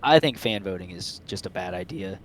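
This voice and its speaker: Male podcast co-host, fairly low pitch, relaxed-format sports chat, slightly noisy recording. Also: fairly quick. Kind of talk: casual sports chat with friends.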